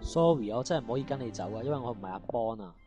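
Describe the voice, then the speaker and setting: A male actor as a firm, protective prison guard in a radio drama. He is earnest and speaks extremely fast in an average male pitch. Music is clearly heard beneath him. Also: lively intonation, lightly acted. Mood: earnest, apologetic. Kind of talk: amateur radio drama acting.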